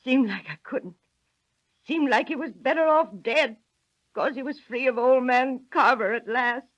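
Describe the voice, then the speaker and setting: A woman's voice: high-pitched, unhurried. A woman playing a weary, grieving older mother in old radio drama, plaintive and folksy. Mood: weary, pleading. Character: weary, grieving older mill-town mother, protective of her son. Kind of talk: 1930s radio acting. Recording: dull old radio recording.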